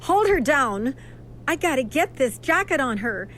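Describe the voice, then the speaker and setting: A woman playing a brisk, authoritative asylum nurse in an audio horror drama. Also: sits high, big pitch swings, a bit bright, a little hiss or hum, exaggerated voice. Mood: urgent.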